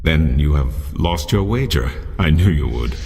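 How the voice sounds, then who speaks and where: An actor playing a wise, solemn old mentor in a video game, speaking in a measured, formal way. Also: male voice, rumbling low, expressive, warm tone, in a large hall. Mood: grave. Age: older. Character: wise ancient old man, grave mentor.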